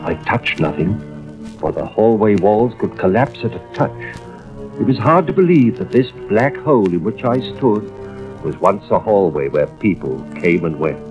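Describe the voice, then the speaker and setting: A man's voice: lowish voice, big pitch swings, moderate pace, darkish tone. An American actor playing an intent, hard-boiled male arson investigator in an old radio drama, with terse delivery. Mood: tense, suspenseful. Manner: terse, suspenseful first-person narration. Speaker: lead actor and first-person narrator. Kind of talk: 1940s-50s radio drama acting. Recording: dull old radio recording, music behind.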